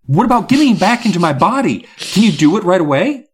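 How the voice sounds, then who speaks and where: A man's voice: medium pitch, big pitch swings, measured pace, a bit bright, slightly rough, heavily acted. A man voicing a frantic, indignant boy who is trapped in a bee's body in a storybook. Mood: shrill, exasperated.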